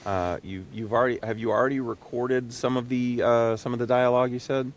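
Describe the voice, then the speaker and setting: A man's voice: mid-range voice, fairly even intonation, brisk. A man hosting a casual interview, asking questions in a conversational style. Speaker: interview host.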